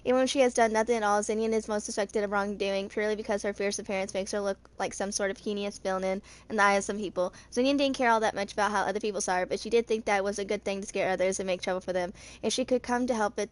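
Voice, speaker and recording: Young woman reading aloud, stumbling over words. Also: mid-range voice. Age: 20s. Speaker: gaming channel host. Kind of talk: reading game character stories aloud, with casual asides.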